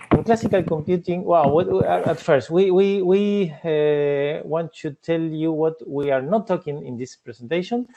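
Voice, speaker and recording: A man's voice: on the high side, warm tone, muffled recording. Hesitant Argentine man giving a talk. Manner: halting, many fillers and restarts. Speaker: cybersecurity researcher presenting a talk. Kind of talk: conference presentation.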